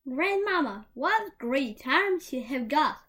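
A woman's voice: high voice, sing-song, slow, a bit bright, voice pushed up, full character voice. A woman voicing an innocent, polite little country girl in a fairy tale, with a sing-song sense of wonder. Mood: amazed.

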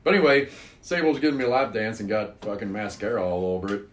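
A man's voice: lowish voice, expressive, quick pace, a little hiss or hum. A man hosting a casual podcast chat, teasing his friends and laughing often. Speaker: podcast host.

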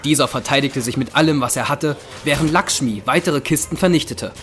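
A man's voice: medium pitch, a little fast. A man reading scripted narration for an audio drama, telling the story in the past tense. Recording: loud music under the voice. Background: loud dramatic music.

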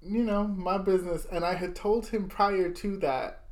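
Young male podcast co-host, animated, laugh-prone storyteller. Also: high voice, moderate pace. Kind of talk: casual chat.

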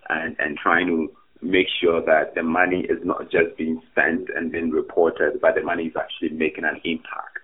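A man's voice: rumbling low, flat delivery, moderate pace. A man from Ghana, an academic guest on a podcast, answering thoughtfully with many fillers and restarts. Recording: tinny phone-like remote line.

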